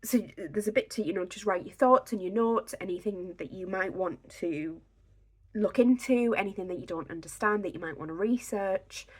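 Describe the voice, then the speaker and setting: A young woman with a slightly raspy, croaky voice, chatting casually to a camera on a tripod at home, giving pregnancy advice. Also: medium pitch, normal speed. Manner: chatty, filler-heavy, reassuring. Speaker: pregnancy vlogger. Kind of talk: casual instructional talk to camera.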